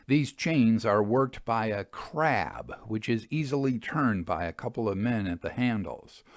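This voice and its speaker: A man reading an old-fashioned first-person ghost story as scripted narration, in a measured, expressive storyteller's manner. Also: lowish voice, no echo, studio quality. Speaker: audiobook reader.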